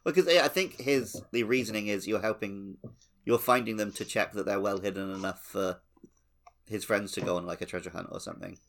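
Chatty low-voiced man with gravelly creak. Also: fairly quick, a bit bright. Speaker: podcast co-host. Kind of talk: casual chat about a video game.

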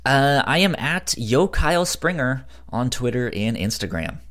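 A man's voice: medium pitch, lively intonation, measured pace, fairly bright tone. A man co-hosting a podcast in casual chat, with a laid-back, joking manner. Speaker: podcast co-host.

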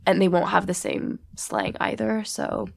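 Young woman, from the USA, gravelly, casual chat, close dry sound. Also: medium pitch, steady tone, unhurried, no echo. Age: young adult. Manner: chatty, many "like" fillers. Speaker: guest. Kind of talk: casual conversation.

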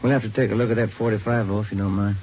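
An actor playing a polite, matter-of-fact police detective in an old radio drama, on a dull vintage recording. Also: male voice, on the low side, little pitch movement, very fast, darkish tone, a little hiss or hum, close to an everyday voice. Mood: courteous. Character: plain, courteous male police detective, a family man.

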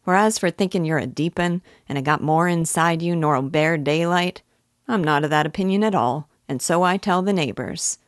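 A woman's voice: sits low, normal speed, no room sound, studio quality, natural voice. A woman voicing an old male English village busybody with a rural dialect, self-important and neighborly, in a storybook reading. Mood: garrulous, opinionated. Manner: rambling, opinionated, advice-giving.

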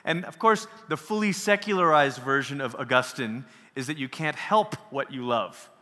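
A man's voice: mid-range voice, moderate pace, sharp tone, big-room sound. A man from Malaysia giving a casual workshop lecture on theology, talking conversationally, checking in with the audience and often restarting phrases. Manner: conversational, asks the audience questions, restarts, laughs easily. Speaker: workshop speaker.

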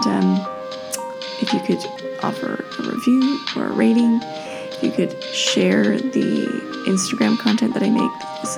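A tired young woman with a raspy voice, hosting a solo podcast and thinking aloud with many fillers and restarts. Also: fairly high pitch. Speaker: podcast host.

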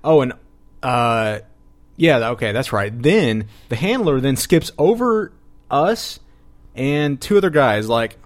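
A man's voice: mid-range voice, very animated, takes it slowly. A man hosting a podcast, recounting an event in casual chat with a crude, mocking manner. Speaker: podcast host.

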